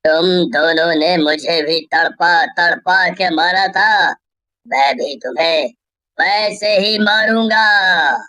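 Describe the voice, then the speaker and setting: A woman voicing the vengeful, mournful ghost of a murdered village headman, speaking in slow, drawn-out phrases for a cartoon story. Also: lowish voice, sharp tone, no room sound. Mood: gloating menace.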